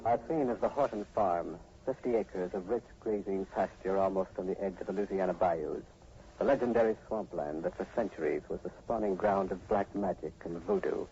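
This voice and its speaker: Deep, mellow 1940s radio announcer. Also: male voice, moderate pace, slight background noise. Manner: solemn, dramatic. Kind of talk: 1940s scripted radio narration. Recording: muffled 1940s radio broadcast recording. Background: prominent music.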